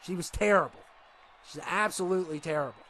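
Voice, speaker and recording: A man with a stuffed-up nose doing rambling, excitable play-by-play for a video game wrestling show. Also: sits high, a little fast, slight background noise. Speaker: commentator.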